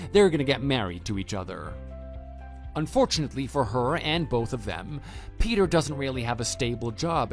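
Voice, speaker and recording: Male actor as stern former teacher, audio drama, matter-of-fact, mid-pitched, expressive intonation, background music. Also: natural voice. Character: stern, principled male former homeroom teacher, calm and reproving. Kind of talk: scripted audio drama dialogue and narration.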